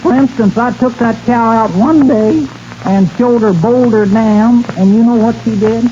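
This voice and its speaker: A man's voice: very high-pitched, a little slow, warm tone. A man playing a silly, boastful country cowboy in 1940s radio comedy, telling tall tales in rustic, folksy speech. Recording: dull, hissy 1940s radio broadcast.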